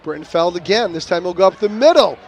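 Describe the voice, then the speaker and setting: Male play-by-play announcer for live football. Slightly high pitch, excitable and jokey, light outdoor ambience behind. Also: fast. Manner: jovial, jokey, excitable on big plays. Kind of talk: live sports commentary with casual banter. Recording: clear outdoor stadium ambience noise. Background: outdoor game ambience.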